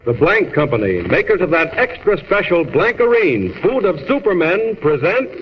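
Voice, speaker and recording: A man's voice: high voice, sing-song, moderate pace. A man narrating a superhero radio serial in a grand, declamatory 1930s style. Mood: grand, dramatic. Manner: theatrical, declamatory, crisp phrasing. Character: grand, urgent male storyteller of a superhero serial. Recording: dull, hissy old radio recording.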